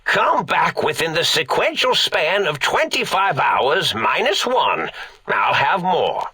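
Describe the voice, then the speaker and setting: An eccentric old robot-brain scientist for a video game, rambling and grandiose. His delivery swings widely in pitch, with a bright, forceful edge and a raspy grain. He sounds addled yet theatrical, like a villain who loses his thread. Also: big pitch swings, slow, no echo, full character voice. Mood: addled, genial. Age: older. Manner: pompous, rambling, doddery, eccentric.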